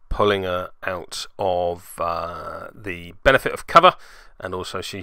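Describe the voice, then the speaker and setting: A man's voice: medium pitch, bright, forceful tone, clear, resonant tone, dry recording. A man narrating a tabletop game match he plays in, with dry, self-mocking, sarcastic humour. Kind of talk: voice-over game commentary.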